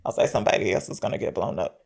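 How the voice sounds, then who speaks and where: A woman's voice: very fast, darkish tone. A woman co-hosting a podcast, casually retelling a film's story and thinking aloud as she goes. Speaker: podcast co-host. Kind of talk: casual film discussion, retelling the plot.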